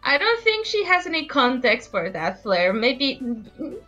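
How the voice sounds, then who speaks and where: A woman's voice: high voice, very animated, measured pace, bright, forceful tone, dull audio, soft background music. A young woman co-hosting a drawing stream, chatting casually on a call and laughing and teasing often. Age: young adult. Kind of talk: casual chat.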